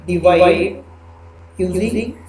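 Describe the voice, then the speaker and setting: A man giving a programming lecture, explaining step by step with halting phrasing and repeated fillers. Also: high-pitched, a little mellow. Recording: echoey room with background noise.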